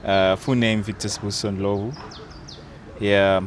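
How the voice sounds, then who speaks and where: A young man, an actor and content creator, chatting playfully in an interview, unhurried and hesitant with fillers. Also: on the low side, flat delivery, slight background noise. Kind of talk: casual interview chat.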